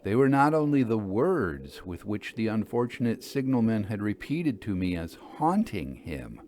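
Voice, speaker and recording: Low-voiced man hosting a story podcast. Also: big pitch swings, a little slow, a little mellow, a little hiss or hum. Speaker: podcast host and story narrator. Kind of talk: literary storytelling and scripted commentary.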